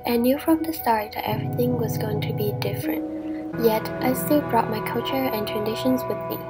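Young Vietnamese woman narrating softly over music. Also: a little high. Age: young adult. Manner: soft, reflective reading, often breathy and whispery. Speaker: digital story narrator. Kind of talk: scripted personal narration. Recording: voice-over with clear music behind.

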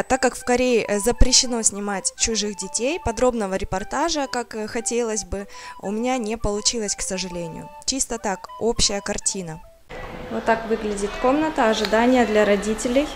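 A woman from Kazakhstan walking through a school and chatting to her viewers, filming on a handheld camera. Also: medium pitch. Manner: chatty, explaining to viewers with small laughs and filler sounds. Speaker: vlogger. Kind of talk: casual walking vlog narration. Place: inside a large school building, rooms and corridors, partly outdoors. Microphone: handheld camera, speaker off screen. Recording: camera recording with clear background noise.

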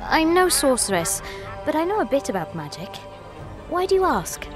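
A woman's voice: high voice, big pitch swings, normal speed, music in the background. An actress playing a guarded, proud herbalist rumoured to be a witch in a video game, cool and assertive. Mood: guarded, haughty. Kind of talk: dramatic video game acting.

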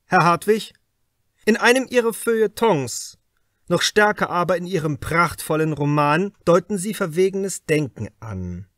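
A man's voice: high voice, animated, unhurried, fairly bright tone, no room sound, voice pushed up. A male reader plays a shrewd detective from a crime audiobook. He speaks formally and insistently, in a raised, emphatic voice, recorded dry and close. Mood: probing, insistent. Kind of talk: audiobook character dialogue.

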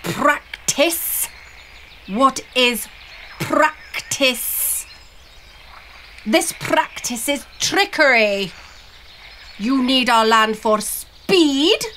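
Woman playing a booming, slow, hostile troll ruler. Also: expressive, pushed and bright, slight background noise, heavily acted. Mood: suspicious anger. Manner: booming, growling, spitting delivery. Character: huge sharp-toothed male troll ruler, hostile and suspicious. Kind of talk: storybook character voice.

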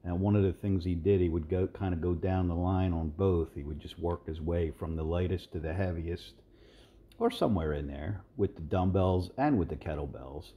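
A man in his sixties giving relaxed, rambling voice-over commentary as a fitness video host, with many fillers and restarts. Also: deep voice, normal speed, muffled recording. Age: 60s.

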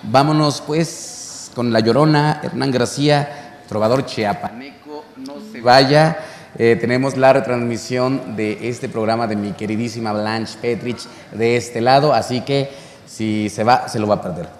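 A man in his thirties from Mexico hosting a relaxed interview, talking with animated gestures in a cultural center room. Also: medium pitch, measured pace. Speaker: show host. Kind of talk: conversational interview. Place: cultural center courtyard, indoors. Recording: echoey room with long reverb.

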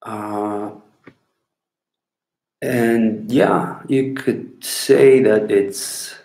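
A man in his thirties from Bulgaria, speaking English with a Bulgarian accent, rambling and pausing to find words as he answers questions into a laptop webcam at home. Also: fairly low pitch, fairly even intonation, creaky, dark tone. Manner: unhurried, rambling, pauses to search for words. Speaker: clown answering viewer questions. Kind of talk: casual talk to camera. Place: small room at home, indoors. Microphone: laptop webcam at desk. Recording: echoey room on a laptop mic.

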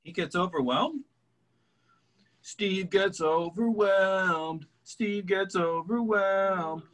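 A man reading a teasing bar regular in a table read over a video call, mocking and deadpan. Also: high voice, extremely slow, full character voice.

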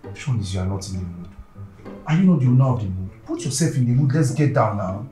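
A man acting an impatient Nigerian boyfriend in a comedy skit, whiny and insistent, with a very lively pitch that swings widely. He pleads and boasts in Pidgin-flavoured speech. The sound is roomy and off-mic, with music clearly behind him. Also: quick pace, lightly acted. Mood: irritated, demanding. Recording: echoey room, far from mic, music behind.

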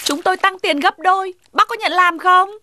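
A woman voicing a fussy, complaining animal neighbour in a cartoon dub, sharp-tongued and insistent. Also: extremely high pitch, normal speed, slight background noise. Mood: indignant. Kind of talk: Vietnamese children's cartoon dubbing.

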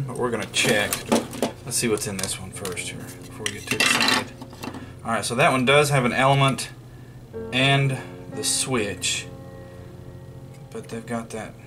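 A man giving casual, unscripted narration of hands-on repair work in a folksy way, thinking aloud. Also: lowish voice. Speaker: vlogger demonstrating radio repair. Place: indoors at a wooden workbench.

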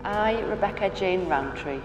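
A woman registrar leading a wedding ceremony, reading vows in a measured, formal way. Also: medium pitch, lively intonation, lo-fi sound. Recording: echoey hall with music.

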